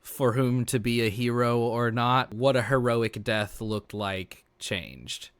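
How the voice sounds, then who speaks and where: A man's voice: medium pitch, slow pace, clear, resonant tone, no echo. A man chatting casually on a roundtable, informal and emphatic, repeating words as he thinks aloud. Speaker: podcast regular, tabletop role-playing player.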